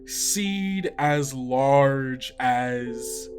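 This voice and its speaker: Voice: mid-range voice, very slow, darkish tone, faint music behind. A game master narrating a tabletop game in rambling, filler-heavy speech.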